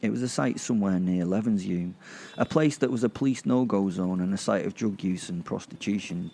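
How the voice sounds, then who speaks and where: Male narrator, low and mellow, sardonic and foul-mouthed, for first-person comic horror audio drama. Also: gravelly, slight background noise. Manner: gleeful, sardonic, sweary.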